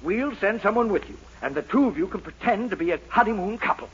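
A man's voice: high-pitched, expressive, fast. A man playing a bossy, secretive schemer in a 1940s radio sitcom. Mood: urgent, scheming. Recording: muffled old radio recording, faint noise.

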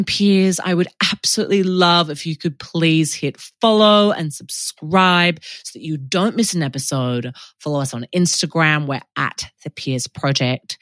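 A woman's voice: deep voice, dry recording, studio quality. A woman hosting a podcast, talking in a casual, enthusiastic and gushing way from her apartment. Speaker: podcast host.

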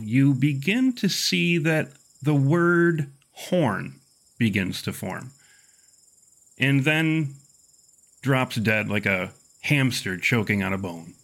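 Guy game master telling a spooky story, mid pitch, wordy and wry, pauses for effect. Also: sing-song, fairly bright tone. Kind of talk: improvised tabletop horror narration.

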